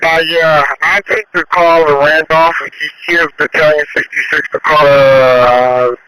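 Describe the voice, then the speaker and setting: A man's voice: on the high side, little pitch movement, a little slow, crowd noise. A man calling in to fire dispatch over two-way radio from the field, in short working transmissions. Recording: noisy vehicle radio with clicks.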